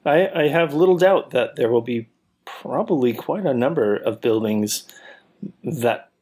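A man's voice: medium pitch, expressive, a little slow, darkish tone, husky. A middle-aged man co-hosting a casual podcast chat, wry and sarcastic, laughing often. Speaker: podcast co-host. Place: Portland apartment.

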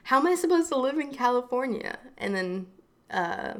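A woman who works as a hairstylist and makeup artist, chatting casually on a podcast and telling her story in an animated way with many fillers. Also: high voice, moderate pace.

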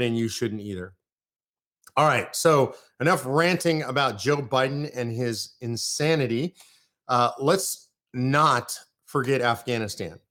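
A man's voice: mid-range voice, a bit bright. An American man from California hosting a live political commentary show, ranting in heated, punchy bursts of repetition.

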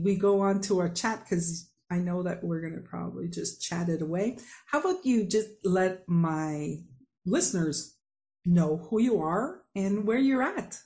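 A woman's voice: medium pitch, a little mellow. A woman in her fifties hosting a podcast. She chats casually with a guest over a video call and laughs often. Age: 50s. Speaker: podcast host. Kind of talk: casual chat.

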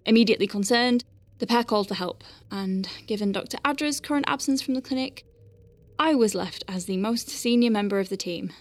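A woman's voice: mid-range voice, brisk, no room sound, natural voice. A woman playing a dry-witted, capable doctor on a space station, dictating clinical notes with wry conversational asides in an audio drama. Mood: clinical, concerned. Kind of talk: science-fiction audio drama, spoken log.

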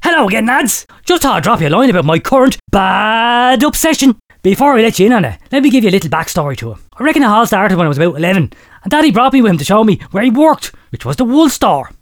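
A man's voice: high-pitched, quick pace, no echo, studio quality. A man hosting a comedy podcast, reading out a listener letter with mock drama and frequent laughter. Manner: laughs often while reading, lively mock storytelling. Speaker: podcast host.

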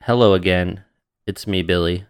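A man's voice: sits low, normal speed, clear, resonant tone, dry recording, studio quality. A tired, sniffly young man co-hosting a casual podcast, joking along with his co-host. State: sniffly, mouth breathing. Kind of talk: casual chat.

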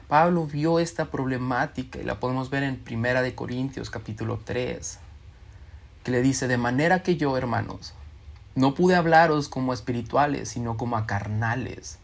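A man delivers a sermon. He reads scripture aloud and acts out quoted speakers with expressive shifts in his voice. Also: mid-range voice, vocal fry. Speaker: preacher.

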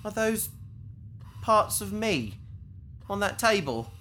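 A woman's voice: mid-range voice, very animated, slow, a bit bright, slight background noise. A woman playing an indignant, pleading captive in a science fiction audio drama, speaking in an earnest, protesting way. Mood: alarmed, indignant. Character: indignant, pleading female captive victim on operating table.

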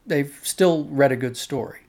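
A man's voice: a little high, unhurried, a little mellow. A man talking thoughtfully as an interview guest, explaining with emphasis on key words. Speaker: interview guest, audio drama producer.

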